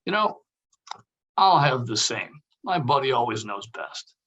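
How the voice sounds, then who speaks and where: A man plays a casual buddy with something to hide. His voice is husky and sits at an average pitch. The delivery is that of a table read. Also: sing-song, measured pace, a bit bright, heavily acted. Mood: breezy. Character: casual, evasive male buddy with a dark streak.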